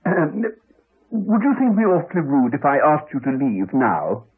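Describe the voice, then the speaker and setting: A muffled 1980s radio drama recording of a courteous but crotchety English doctor. His delivery is dry and formal, with lively rises and falls and a slightly husky tone. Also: a little high. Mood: coldly courteous. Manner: dry, formal, measured politeness. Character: crotchety, evasive, courteous male village doctor.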